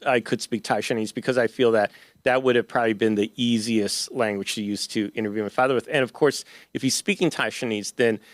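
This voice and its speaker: A man's voice: mid-range voice, steady tone, a little fast, no room sound. A man, an author, answering audience questions at a book talk in a loose, conversational way with many fillers and restarts. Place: event hall.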